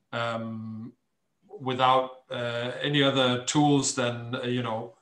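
A middle-aged Danish man with a mid-range voice tells his story as a guest on a video call. He speaks English with a Danish accent, pausing often and picking his way through phrases. The room around his computer mic is noticeably echoey. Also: mid-range voice, a bit bright. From Denmark. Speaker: interview guest, charity founder. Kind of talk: conversational interview storytelling. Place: small cluttered room at home, indoors.

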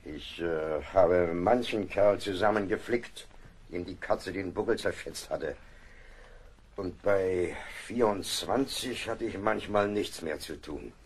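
An actor playing a seasoned ship's surgeon in an audio drama, gruff, blunt and fatherly. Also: deep voice, slow pace, full character voice. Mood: gruff reassurance. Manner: blunt, matter-of-fact, fatherly.

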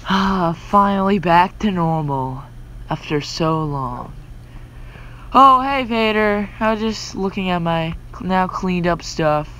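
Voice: medium pitch, takes it slowly, bright, forceful tone, dull audio, slight background noise. A young-sounding voice acting out informal, repetitive, exclamatory dialogue for a homemade game roleplay. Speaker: voice actor in a self-made Minecraft roleplay. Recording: music behind the voice.

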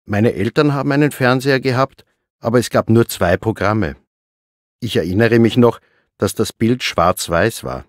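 A man's voice: medium pitch, normal speed, dry recording, studio quality, lightly acted. A man in his fifties, as played in a scripted language-course interview, recalling the past in a reflective way. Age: 50s. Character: fifty-two-year-old man being interviewed.

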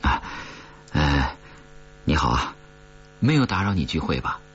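Awkward, apologetic audiobook character played by a male narrator: halting, polite, lively intonation, slightly muffled sound. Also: a bass voice, husky, heavily acted. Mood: awkward, friendly. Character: awkward, earnest, apologetic man, an ex-rival.

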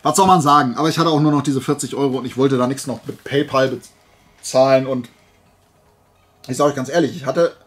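A man's voice: mid-range voice, breathy edge. A man vlogging casually in a colloquial storytelling way, acting out bits of dialogue, his throat dry and voice worn from days of talking. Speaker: host of a flea market haul video. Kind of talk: casual anecdotal vlog chat.

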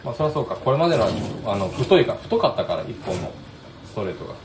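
A man's voice: fairly low pitch, very animated, quick pace. A man giving a casual, conversational hands-on DIY demonstration as a vlogger. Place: indoors at a kitchen sink by a window. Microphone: smartphone set near the sink. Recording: roomy echo, clear running-water noise. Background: running water and room ambience.

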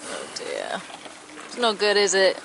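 A woman's voice: mid-range voice, wildly swooping pitch, measured pace, vocal fry, heavy background noise. A woman in her thirties with an English accent, chatting and narrating casually as a sailing vlogger on a handheld camera microphone. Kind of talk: casual vlog chat and narration. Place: aboard a small sailing boat outdoors.

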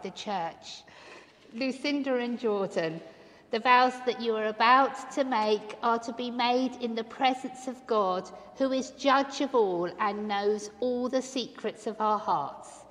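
A woman officiating a church wedding, her voice set a little high and delivered very slowly. Each phrase is spaced out for the congregation, and the long stone-church reverb trails after every line. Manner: warm welcoming, leading vows phrase by phrase. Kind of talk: wedding liturgy, slow and measured.

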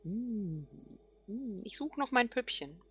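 A woman giving casual game commentary, musing softly and half whispering to herself. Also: on the high side, animated, slow pace, husky. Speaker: let's player.

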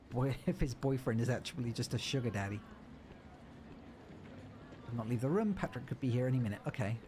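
A man's voice: mid-range voice, warm tone, slight background noise. A man streaming a video game, joking and reading the on-screen text aloud as he plays. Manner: playful, jokey, reads game text aloud. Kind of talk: let's-play commentary.

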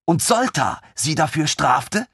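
A man voicing an eager, excitable male lieutenant in an audiobook, talking in breathless, gushing questions. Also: fairly high pitch, slow pace, husky, no room sound, clean studio sound, voice pushed up, exaggerated voice. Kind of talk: audiobook reading.

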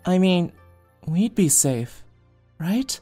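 A woman plays a modest young tinkerer in a comic dub. Her voice sits a little low, easygoing and unassuming, with light music behind it. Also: animated, drawn-out words, mellow, slightly rough, heavily acted. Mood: wistful, reflective. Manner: unassuming, casual. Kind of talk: amateur comic dub acting.

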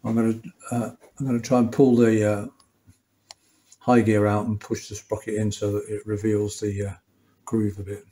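A man's voice: lowish voice, flat delivery, moderate pace, mellow. A middle-aged or older man, talking casually and wryly as he demonstrates mechanical work in a small workshop. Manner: chatty, self-deprecating, wry asides. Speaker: motorcycle mechanic and host. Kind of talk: casual hands-on workshop tutorial. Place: small indoor workshop at a workbench.

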